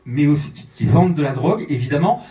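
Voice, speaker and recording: A man's voice: fairly high pitch, animated, fast, warm tone, dull audio. A French-Iranian man, a journalist, giving a fervent speech at a conference in a large theatre, repeating words when he pushes a point. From France and Iran, French-Iranian. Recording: echoey hall, voice from a distance.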